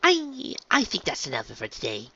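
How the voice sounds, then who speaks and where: A narrator voicing a refined, fussy young female unicorn dressmaker who speaks in a genteel, dramatic, gently chiding way. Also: medium pitch, huge pitch swings, normal speed, dull audio, a little hiss or hum, voice pushed up, heavily acted. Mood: strained politeness. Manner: genteel, dramatic, proper speech.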